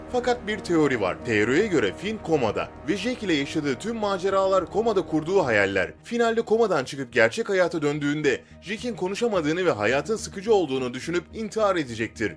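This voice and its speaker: Male narrator, scripted countdown read, highly animated pitch, music underneath. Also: a little fast, hardly any pauses.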